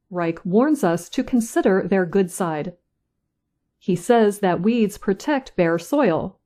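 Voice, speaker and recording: Slow-reading woman news reader. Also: mid-range voice, warm tone. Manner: slow, careful, clear delivery. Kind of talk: slow scripted reading for learners.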